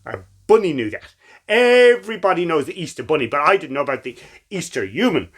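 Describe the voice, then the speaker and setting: Man, children's storyteller, lightly high voice, very varied intonation, expressive, playful. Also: brisk, slightly rough. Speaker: storyteller reading children's stories. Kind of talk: animated read-aloud storytelling for children.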